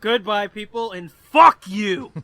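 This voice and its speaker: High, bright-voiced laughing podcast host. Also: male voice, slow, no echo. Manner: loud, laughing, crude joking. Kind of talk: casual comedic podcast banter.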